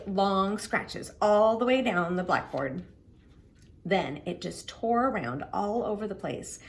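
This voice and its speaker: A woman narrates a children's storybook with very lively, swooping intonation. Her voice sits at a middling pitch for a woman. She stresses key words dramatically as she reads. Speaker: children's book reader. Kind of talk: expressive storybook read-aloud.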